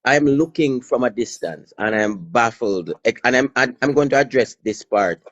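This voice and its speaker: A man's voice: mid-range voice, expressive, normal speed, fairly bright tone, highs cut off. A man with a Jamaican accent, a pastor calling in, speaking earnestly and emphatically. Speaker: caller, pastor. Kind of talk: call-in conversation.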